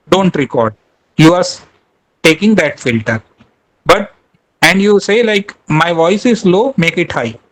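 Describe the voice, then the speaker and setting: A man's voice: fairly high pitch, low-quality recording. A man giving a webinar talk, hesitant, with many fillers and restarts. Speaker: guest speaker.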